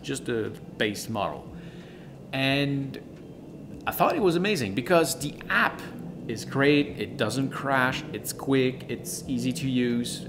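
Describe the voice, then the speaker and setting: A man who is a gives a casual product review in an enthusiastic, emphatic, halting way, often repeating words. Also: medium pitch, background noise.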